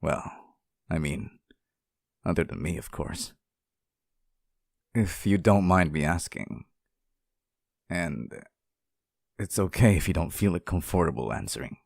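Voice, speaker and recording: A man voicing a lonely, sweet and possessively devoted sealed demon in an intimate ASMR roleplay, speaking softly, with tender, hesitant pleading. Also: low-pitched, lively intonation, a little slow, creaky, long pauses, no echo, clean studio sound, exaggerated voice. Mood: gentle concern. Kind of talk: ASMR roleplay, intimate scripted monologue to the listener.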